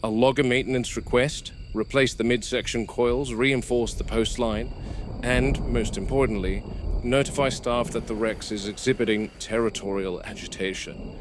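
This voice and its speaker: An actor playing a wary, weary male game warden at a dinosaur park, narrating a horror audio log in a measured, grave way. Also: mid-range voice, steady tone, close to an everyday voice. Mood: wary, tense. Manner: measured, grave, deliberate field commentary. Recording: noisy VHS-style field recording with outdoor ambience.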